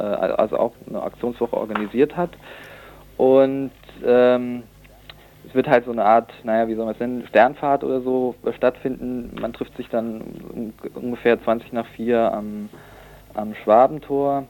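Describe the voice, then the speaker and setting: A young man speaking as a student activist guest in an informal radio interview, halting and searching for words. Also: medium pitch, mellow, rough recording. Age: young adult.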